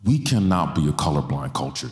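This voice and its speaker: A man's voice: rumbling low, very animated, a little fast. A man in his forties speaking with passion to a church audience on stage, holding a handheld mic and repeating lines for stress. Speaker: guest speaker. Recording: roomy church hall sound.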